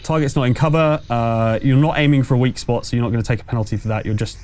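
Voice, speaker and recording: A man's voice: medium pitch, fast, a little mellow. Hesitant male game master, noisy room. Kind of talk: narrating and refereeing a tabletop game.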